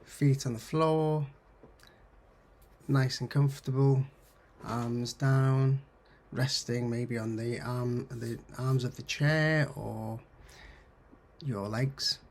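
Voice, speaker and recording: Male meditation guide: unhurried, gravelly and husky, soothing, gently instructive, medium pitch. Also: fairly even intonation. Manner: soothing, gently instructive, pausing between short phrases. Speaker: counsellor guiding mindfulness. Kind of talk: guided meditation, body scan.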